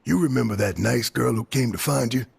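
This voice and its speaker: An actor playing a gruff but tender father and resistance fighter in a video game cutscene, speaking gently and earnestly in a gravelly, husky voice. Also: mid-range voice, brisk, heavily acted. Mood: tender, regretful.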